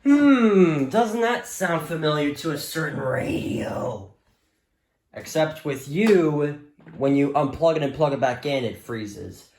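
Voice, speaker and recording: A man's voice: a little high, big pitch swings, takes it slowly, darkish tone. Man rambling casually in an echoey room. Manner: hesitant, rambling, repetitive. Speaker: weather radio hobbyist vlogger. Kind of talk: casual unscripted gadget showcase. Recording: echoey room with background hiss.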